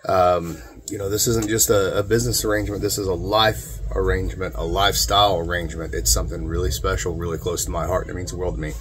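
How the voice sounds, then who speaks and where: Middle-aged male vlog host, lowish voice, folksy and informal, talking straight to camera from a room at home. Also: little pitch movement, fast talker, few pauses, a little hiss or hum. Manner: folksy, informal, addresses viewers as guys. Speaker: distiller and video channel host. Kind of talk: casual talking-head vlog. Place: small cluttered room at home, indoors.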